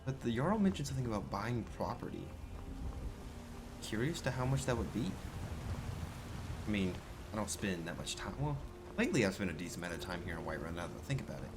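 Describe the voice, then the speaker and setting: A man doing casual in-character commentary while role-playing a video game, musing and low-key. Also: big pitch swings, fast, long pauses, noisy background.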